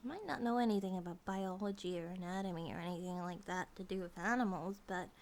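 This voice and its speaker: A woman's voice: fairly low pitch, fast, darkish tone, slight background noise, close to an everyday voice. A woman voicing an affectionate, childlike and possessive grizzly bear monster-girl wife in an intimate ASMR roleplay, in a cutesy, rambling manner that sometimes drops toward a whisper. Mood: defensive.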